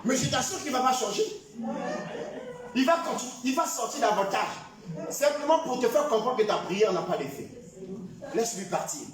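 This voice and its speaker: A male pastor preaching a sermon, often shouting his points and acting out prayers. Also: very high-pitched, animated, moderate pace, long pauses. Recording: echoey hall, voice from far off.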